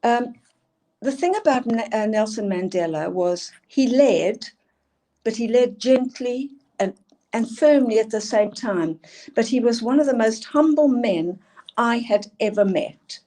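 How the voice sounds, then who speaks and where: Older South African woman, emphatic presenter, warm low-brightness tone. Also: lively intonation, measured pace. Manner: earnest, emphatic, asks for next slides. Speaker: school leadership speaker. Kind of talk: presenting slides in a talk.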